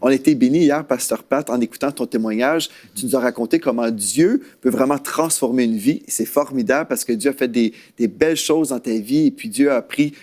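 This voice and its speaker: A man's voice: medium pitch, a little fast, few pauses, darkish tone. Man hosting a talk show. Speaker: TV host, pastor.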